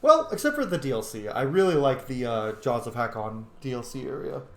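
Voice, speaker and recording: A man's voice: medium pitch, expressive, a little fast. A man giving casual, playful gameplay commentary, swearing now and then and chatting to the game's characters. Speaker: streamer playing and commenting on a video game. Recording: roomy echo with background noise.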